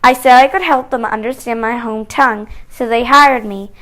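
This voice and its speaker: A woman's voice: fairly high pitch, fairly even intonation, moderate pace, a bit bright, natural voice. A young woman playing an eager young Shoshone guide, telling her story plainly. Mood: pleased. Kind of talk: school-project role-play reading.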